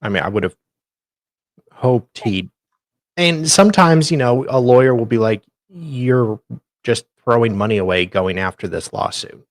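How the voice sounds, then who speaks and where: Measured man co-host in a dry recording. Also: mid-range voice, lively intonation, no echo. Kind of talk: casual chat, brief reactions.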